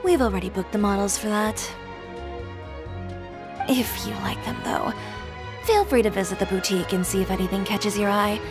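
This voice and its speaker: An actress playing a poised, businesslike adult fashion designer with a composed, dry manner. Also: female voice, medium pitch, moderate pace, pauses often, natural voice. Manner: composed, dry, matter-of-fact. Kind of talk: video game voice acting. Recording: game audio with loud music.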